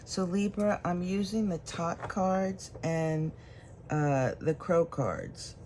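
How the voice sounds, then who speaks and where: Slow, unhurried tarot reader. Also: mid-range voice, a little mellow, a little hiss or hum. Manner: unhurried, thinking aloud as cards are drawn. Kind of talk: solo tarot reading to camera.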